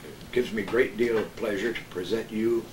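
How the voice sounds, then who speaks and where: An older man telling casual anecdotes as a guest, in a halting way. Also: fairly low pitch, steady tone. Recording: very noisy, echoey, distant mic.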